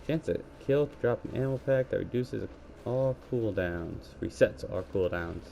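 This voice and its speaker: Male gamer, low mellow scratchy voice, rambling play-by-play into a headset, faint hiss behind. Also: normal speed, gravelly, highs cut off. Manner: rambling, self-correcting play-by-play. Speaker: gaming video creator. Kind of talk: let's play commentary. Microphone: gaming headset.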